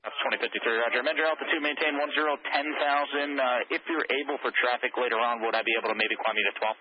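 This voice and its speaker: Male air traffic controller, very fast routine calls, dull radio sound with hiss. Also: mid-range voice. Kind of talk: radio exchanges with pilots. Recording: noisy lo-fi radio transmission.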